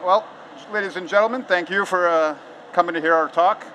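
Bright-voiced Portuguese man, American accent. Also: high-pitched, fairly quick, lo-fi sound, slight background noise. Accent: American English. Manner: conversational, many filler words and restarts. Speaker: conference speaker. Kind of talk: conference talk. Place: large outdoor conference stage.

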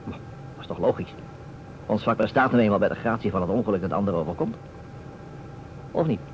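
Radio-play detective male actor: low, lively delivery, sly boastful storyteller, measured with dramatic pauses, muffled old recording. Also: a little hiss or hum, natural voice. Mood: teasing, deliberate. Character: self-assured detective, slyly teasing storyteller and boastful mimic.

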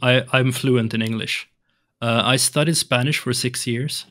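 A man's voice: medium pitch, fairly even intonation, normal speed, fairly bright tone. A young man from Sweden, Swedish his first language, speaking English as a podcast guest in a home room on a studio mic, hesitant with many restarts. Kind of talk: casual interview chat. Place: home room with shelves and colored lights. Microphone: large studio mic on arm, headphones.

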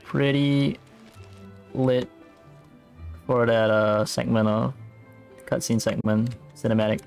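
Slow, gravelly male game streamer. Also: medium pitch, flat delivery, a little mellow. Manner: laid-back, thinking aloud. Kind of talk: casual live playthrough commentary. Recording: faint game music behind. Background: video game music.

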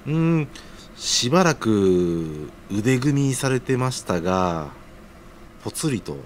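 A man's voice: mid-range voice, big pitch swings, slow, a little hiss or hum. A man acting an earnest, humble young junior worker in an audio drama, speaking casually but deferentially. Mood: sincere, respectful. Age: young adult.

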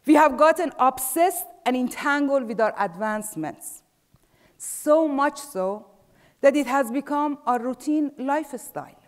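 Middle-aged Persian-accented woman, high voice, slow and measured stage delivery, reverberant hall. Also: lively intonation. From Iran. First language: Farsi. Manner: measured, trailing off softly at phrase ends. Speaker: speaker. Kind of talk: prepared stage talk. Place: large dark theater stage. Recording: theater with long reverb.

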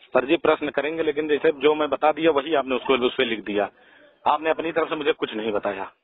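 A man's voice: a little high, fairly quick. A man on a phone call, deferential but insistent and repetitive. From Lucknow, India. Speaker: caller. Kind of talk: phone conversation. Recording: tinny narrowband phone call recording.

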